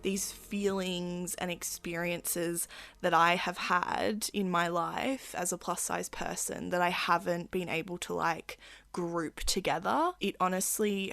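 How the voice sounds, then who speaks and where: Slow, gravelly-voiced woman podcast host. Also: on the low side, a bit bright, no echo. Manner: candid, personal, slow and measured. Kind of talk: podcast conversation.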